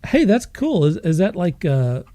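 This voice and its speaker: A man's voice: high voice, big pitch swings, measured pace, warm tone. A man hosting a podcast in casual, chatty banter. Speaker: podcast host.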